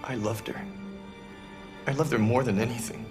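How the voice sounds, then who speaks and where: A man's voice: medium pitch, steady tone, normal speed, over music, natural voice. A man acting as a heartbroken, grief-stricken fugitive android in a video game. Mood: grieving. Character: grieving male fugitive android, heartbroken lover. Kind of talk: dramatic cinematic game acting.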